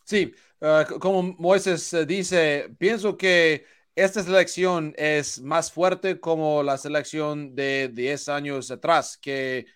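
Slow halting man with a smooth voice. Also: fairly high pitch, fairly even intonation, a bit bright, dry recording, studio quality. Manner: hesitant, halting, searches for words. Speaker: baseball analyst. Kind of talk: hesitant panel commentary.

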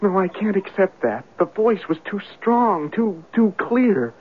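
A man's voice: high voice, sing-song, a little slow, slightly rough, heavily acted. An actor playing a desperate, obsessed young man searching for his love, fervent and melodramatic in old-time radio style. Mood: fervent, insistent. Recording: tinny old radio recording.

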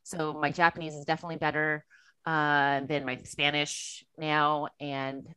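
Bright-voiced Californian woman, chatty. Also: low-pitched, measured pace, clear, resonant tone, no room sound. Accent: Californian American. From Los Angeles, USA. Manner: chatty, animated, quotes herself in dialogue. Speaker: podcast guest. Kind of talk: casual chat, personal storytelling.

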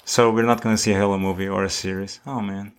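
A man co-hosting a casual tech podcast, halting and often restarting words as he searches for phrasing. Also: sits low, little pitch movement, a little hiss or hum. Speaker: podcast co-host.

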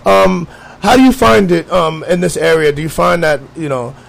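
A man hosts a talk show, asking friendly leading questions and often stumbling into restarts. His voice sits high, with a gravelly edge of fry. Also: normal speed, rough recording. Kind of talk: interview questions.

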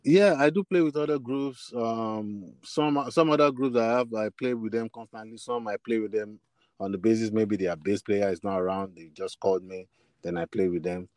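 A man's voice: on the low side, normal speed, darkish tone, no room sound. A man with a Nigerian accent answering as a musician guest in an interview, hesitant and often repeating words as he looks for his phrasing. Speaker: musician guest, bassist and singer. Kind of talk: interview answers, personal storytelling.

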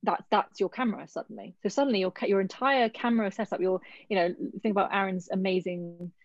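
A woman's voice: mid-range voice, fast talker, dry recording. A woman working as a casting director, giving thoughtful, chatty advice to actors in a workshop over a video call. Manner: thoughtful, chatty, thinks aloud. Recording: dull lo-fi video call audio.

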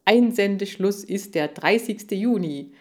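A woman voicing a pompous, boastful male television salesman in a children's story, with a drawn-out showman's delivery. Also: mid-range voice, a little mellow, voice pushed up, lightly acted. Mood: pompous, promotional.

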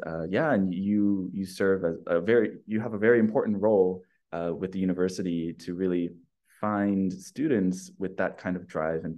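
Deep-voiced male podcast host. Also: mellow, dull audio. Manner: friendly, rambling with many fillers. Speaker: podcast host, researcher and educator. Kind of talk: casual podcast interview.

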